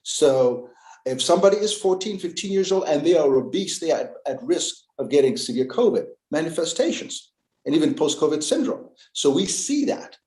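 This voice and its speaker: A man from Uganda speaking in a panel discussion, earnest and emphatic, stressing key words with long pauses. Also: on the high side, big pitch swings, darkish tone, roomy sound. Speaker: panel guest.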